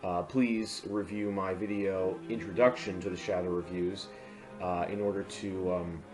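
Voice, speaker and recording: A man's voice: sits low, steady tone, unhurried. A man giving an informal, comedic film review with sarcastic, exaggerated commentary. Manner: sarcastic, exaggerating for comic effect. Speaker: video reviewer and host. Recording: roomy echo under music.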